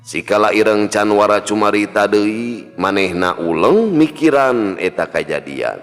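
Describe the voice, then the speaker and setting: A man's voice: on the low side, animated, measured pace. A man with a Sundanese accent narrating a scripted folk tale as a storyteller. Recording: long reverb, music behind.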